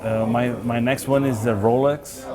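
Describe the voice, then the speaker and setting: A man's voice: medium pitch, steady tone, normal speed. A man from Brazil with a Brazilian Portuguese accent, a native Portuguese speaker, describing his watch in simple, careful phrases. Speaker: guest collector. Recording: voices over a chatty crowd.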